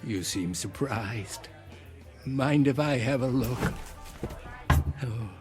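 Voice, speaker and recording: An actor playing a sinister, genteel older man in a radio drama, speaking in measured, savoring, ornate phrases. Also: medium pitch, darkish tone, soft background music. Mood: sly, quiet.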